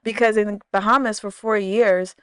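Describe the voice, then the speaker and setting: American-accented woman, smooth dry voice. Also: medium pitch, normal speed, no room sound. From Ghana. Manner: animated, acts out quoted voices. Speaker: podcast host, therapist. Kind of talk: casual conversational interview.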